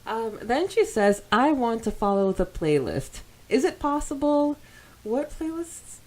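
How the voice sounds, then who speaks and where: A woman's voice: a little high, very animated, unhurried, a little mellow, low-quality recording. A woman co-hosting a podcast, chatting casually and reading out comments in an informal, giggly way. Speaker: podcast co-host.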